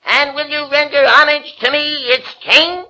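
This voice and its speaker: Pompous, flustered tiny king, high and piercing, old radio play. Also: steady tone, unhurried, bright, forceful tone, heavily acted. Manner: pompous, fussy, easily flustered. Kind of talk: 1940s radio acting. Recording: old radio broadcast with music.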